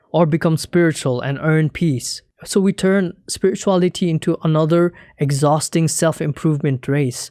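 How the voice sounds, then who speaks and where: A young man from Nepal whose first language is Nepali, giving a calm, earnest, scripted talk as a spiritual teacher. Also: on the high side, dry recording, studio quality. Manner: measured, earnest, explanatory.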